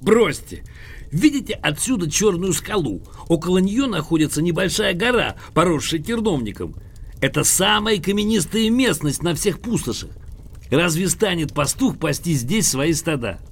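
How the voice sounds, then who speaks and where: A pompous, litigious old gentleman in an audio drama. His voice sits rather high and swings wildly up and down, with a gravelly creak. He is boastful, excitable and theatrical in everything he says. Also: moderate pace, heavily acted. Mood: insistent. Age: older. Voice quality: creaky, husky.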